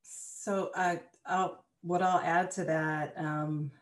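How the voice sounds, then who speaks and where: A woman's voice: lowish voice, fairly even intonation, takes it slowly. Woman panelist in an echoey room. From Canada. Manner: conversational, many "you know" fillers. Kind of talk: panel discussion.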